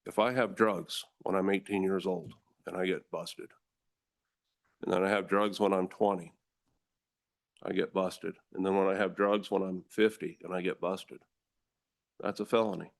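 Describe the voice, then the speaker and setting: A deep, low-pitched American man testifies before a legislative committee. He speaks with forceful conviction. He addresses the room directly, like a prosecutor making his case. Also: steady tone, normal speed, vocal fry, long pauses. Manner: forceful, earnest, addresses the room as ladies and gentlemen. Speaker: district attorney testifying.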